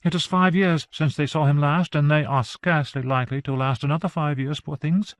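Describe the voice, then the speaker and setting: A man's voice: a little high, fairly even intonation, a little fast, no room sound, clean studio sound, pitched above the performer's own voice, close to an everyday voice. A man voicing an earnest young wife who talks eagerly and persuasively, in a storybook reading.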